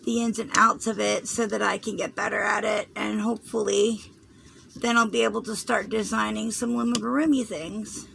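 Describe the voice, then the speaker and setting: A hoarse woman with a Southern US accent, rambling through a craft tutorial and often clearing her throat as she fights a cold. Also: mid-range voice, flat delivery, normal speed, fairly bright tone, slight background noise, poor audio. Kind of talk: chatty loom tutorial.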